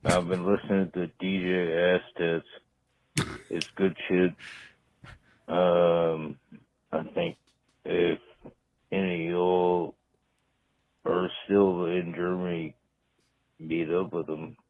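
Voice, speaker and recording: A drunk, drowsy man leaving a rambling voicemail as a caller, halting between trailing phrases. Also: low voice, flat delivery, creaky, no room sound. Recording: muffled, phone-like voicemail recording.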